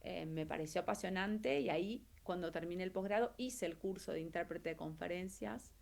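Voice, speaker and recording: A woman's voice: lowish voice, moderate pace, gravelly, a little mellow. Argentine woman recounting her career. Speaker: interview guest, actress and translator. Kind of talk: casual interview, telling career story.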